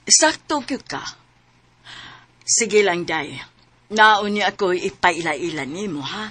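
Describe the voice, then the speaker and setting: An actress playing a kindly, chatty older friend and matchmaker in a radio drama. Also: female voice, lowish voice, lively intonation, slow, fairly bright tone, dry recording, exaggerated voice. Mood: friendly, coaxing.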